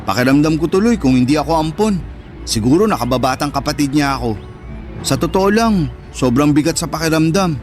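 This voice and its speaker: Casting: male actor for wounded older brother in a Filipino-accented radio drama, highish voice, emotive and earnest, soft music bed. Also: lively intonation, fairly quick, lightly acted. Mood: hurt, resentful. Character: sensitive, hurt older brother, neglected by his mother. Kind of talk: Tagalog radio drama acting. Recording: music under the voice.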